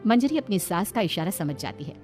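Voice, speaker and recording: A woman's voice: on the low side, big pitch swings, brisk, darkish tone. A woman reading scripted narration for a cartoon story, as a storyteller. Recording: faint music behind the voice. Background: background music.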